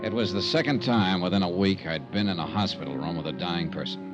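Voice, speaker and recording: An actor playing a wry, hard-boiled insurance investigator in a 1950s radio drama, narrating in a dry, clipped way. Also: male voice, low voice, fairly quick, dull audio, close to an everyday voice. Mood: wry, matter-of-fact. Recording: vintage radio recording with music behind.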